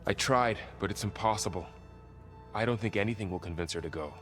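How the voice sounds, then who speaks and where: A man's voice: on the low side, brisk, a bit bright, soft background music, close to an everyday voice. A man playing a concerned, weary male companion in a video game. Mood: resigned. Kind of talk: scripted video game dialogue.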